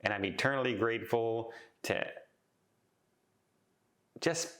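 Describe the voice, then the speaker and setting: A man talking casually in a vlog, chatty and heartfelt, with a calm voice and frequent restarts. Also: mid-range voice, takes it slowly. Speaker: travel vlogger. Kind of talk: casual reflective vlog talk.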